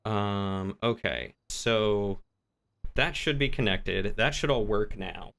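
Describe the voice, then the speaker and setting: A man's voice: fairly low pitch, slow, a bit bright. A man livestreaming a role-play game, chatting casually with viewers and explaining things in an informal, rambling way as he thinks aloud.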